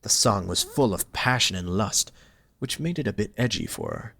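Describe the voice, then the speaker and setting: A man's voice: lowish voice, wildly swooping pitch, moderate pace, creaky, fairly bright tone, slightly rough. A man reading scripted story narration as the narrator of an audio drama.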